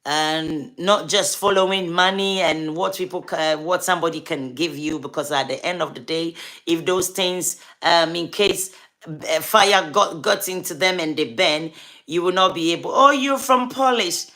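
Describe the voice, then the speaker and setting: A woman's voice: fairly low pitch, normal speed, fairly bright tone. A woman with a Ghanaian accent, a gospel artist hosting a casual livestream, chatting with viewers by name and urging them to subscribe.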